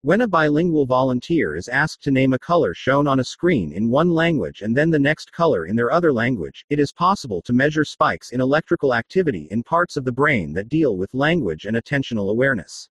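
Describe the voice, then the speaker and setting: A man narrating a scripted documentary report, explaining things clearly as a reporter who speaks English first. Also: medium pitch, very animated, brisk, few pauses, no room sound.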